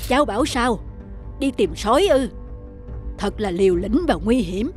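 A protective older ape from a children's cartoon, played by a woman. The voice is fairly high, kindly but admonishing, like an elder minding a youngster. Music is clearly heard behind. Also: animated, moderate pace, close to an everyday voice. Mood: concerned warning. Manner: admonishing, concerned. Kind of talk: cartoon voice acting.

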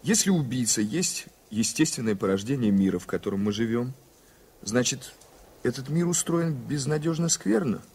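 An actor playing an earnest, polite, doubting American journalist in a radio play, with formal, hesitant speech. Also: male voice, medium pitch, expressive, moderate pace, a little mellow, husky. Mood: doubting, reflective. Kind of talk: 1980s radio play acting.

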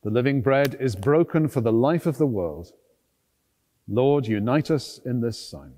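A middle-aged man, a priest, reciting solemn liturgical prayer in measured, deliberate phrases inside a large church. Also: medium pitch, lively intonation, dark tone. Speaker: priest, celebrant at a church service. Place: large stone cathedral, at the altar. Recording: long reverb of a large church.

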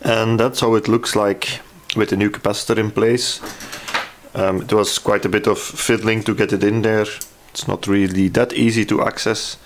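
A man's voice: on the low side, level tone, moderate pace, slight background noise. A man presenting a hands-on restoration casually, hesitant and thinking aloud as he explains each step. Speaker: hobby radio restorer presenting a repair. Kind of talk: casual hands-on repair walkthrough.

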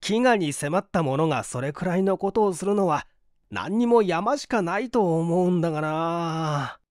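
Man performing a broke hungry storybook man, persuasive, wry, talkative, animated pitch, dry close sound. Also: moderate pace, no echo, lightly acted. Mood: coaxing.

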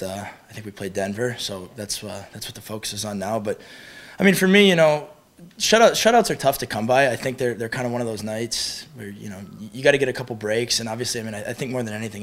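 A young American college hockey goalie answers questions after a game. He talks fast in a low-ish voice, and his answers are full of "you know" and repeated words. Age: young adult.

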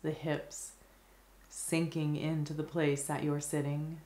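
Woman doing slow guided meditation, low gentle voice, lots of pauses, bit of a roomy echo. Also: level tone. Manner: gentle, unhurried, with long pauses.

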